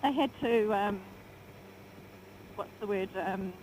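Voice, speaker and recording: A woman riding on the back of a motorbike chats brightly with her partner, chiming in and finishing his sentences. Her voice sits at an ordinary middle pitch. Loud wind and road noise run under everything she says. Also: slow pace, low-quality recording. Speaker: vlogger, pillion passenger. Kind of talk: casual chat while riding. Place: outdoors on a moving motorbike. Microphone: action camera on the bike.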